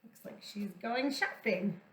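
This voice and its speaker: High-pitched, animated woman reading a children's story. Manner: theatrical, deliberate, drawn-out emphasis on words. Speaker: children's storytime teacher and host. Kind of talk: storybook read-aloud for young children. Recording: echoey room sound.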